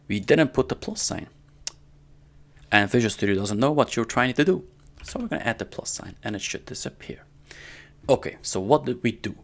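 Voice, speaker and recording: A man giving a casual, step-by-step coding tutorial in an informal way, often checking in with the listener, with a gravelly voice. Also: lowish voice, a bit bright. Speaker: programming tutorial instructor. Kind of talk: casual screen-recorded coding lesson. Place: indoors at a computer.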